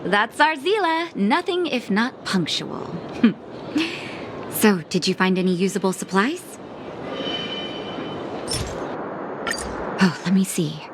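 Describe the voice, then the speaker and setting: A woman playing a kindly, composed clinic keeper in a video game, with a gentle, caring way of talking. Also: mid-range voice, expressive, measured pace, noisy background. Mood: pleased, then puzzled. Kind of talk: video game cutscene acting.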